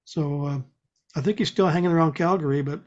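A man's voice: a little high, brisk, a little mellow. A man chatting casually in a hesitant, mild-mannered, rambling way. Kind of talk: casual chat. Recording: dull, lo-fi audio.

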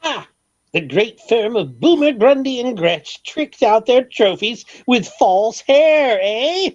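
A man's voice: extremely high pitch, expressive, slow pace, no echo, exaggerated voice. An actor playing a haughty, wealthy eccentric man in an old radio comedy, theatrical and easily affronted. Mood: sly. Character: wealthy, eccentric, huffy male egg expert. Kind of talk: 1940s radio comedy acting. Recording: dull old radio recording.

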